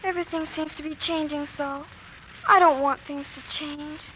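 A woman's voice: sits high, steady tone, normal speed, close to an everyday voice. An actress playing an earnest, wistful young girl devoted to her father in a 1940s radio drama. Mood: troubled, wistful. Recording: faintly noisy 1940s radio broadcast.

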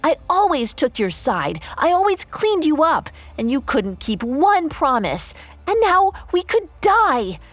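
A woman's voice: high voice, big pitch swings, a little slow, dry recording, voice pushed up. Woman voicing an angry young girl. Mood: furious. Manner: sharp, hissing, rising to shouts. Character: young girl, frightened and furious at her father.

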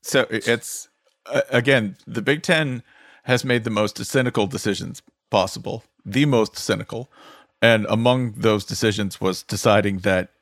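A man's voice: fairly low pitch, normal speed, a bit bright, dry recording, studio quality. A man talking casually as a sports podcast guest, profane and emphatic, often slipping into imagined dialogue.

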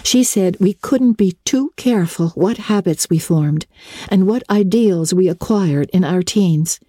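A woman's voice: medium pitch, mellow, no room sound, clean studio sound, lightly acted. A woman voicing an earnest, talkative thirteen-year-old girl in a dramatic storybook reading, with breathless, solemnly emphatic delivery.

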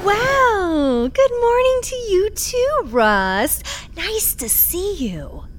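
Slow, swooping, sardonic woman fixer. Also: extremely high pitch, a little hiss or hum, full character voice. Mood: wry. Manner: sardonic, unhurried, expressive. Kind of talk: audio fiction acting, noir sci-fi.

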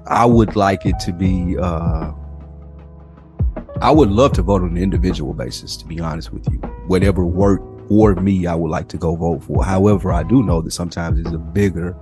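A man from the United States co-hosting a casual podcast, explaining his views in a laid-back, deliberate way. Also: low-pitched, warm tone, faint music behind. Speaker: podcast co-host. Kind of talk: casual conversational podcast discussion.

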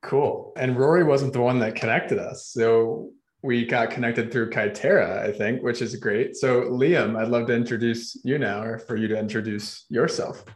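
A man hosting a podcast interview, relaxed and joking, with short affirmations. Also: mid-range voice, moderate pace, few pauses. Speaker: podcast host. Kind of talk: casual interview chat. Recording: roomy echo.

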